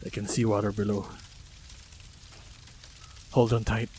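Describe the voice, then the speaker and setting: A man acting in an audio roleplay as a composed, protective monster hunter, speaking in a measured, unhurried way. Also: fairly low pitch, little pitch movement, darkish tone, a little hiss or hum, poor audio. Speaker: voice actor playing a monster hunter. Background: faint sound-effect ambience.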